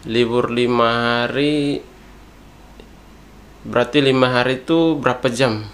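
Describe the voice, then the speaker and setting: A man's voice: medium pitch, steady tone, a little slow. A man chatting casually in slang, cracking jokes and laughing easily. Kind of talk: casual chat. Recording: faint background noise.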